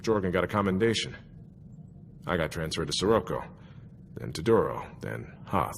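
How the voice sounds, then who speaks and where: An actor playing an easygoing, chatty and wry young army soldier in a video game, speaking in a musing, conversational way. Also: male voice, low voice, very animated, normal speed, gravelly, a little hiss or hum, exaggerated voice. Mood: wry, reminiscing. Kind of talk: video game cutscene dialogue.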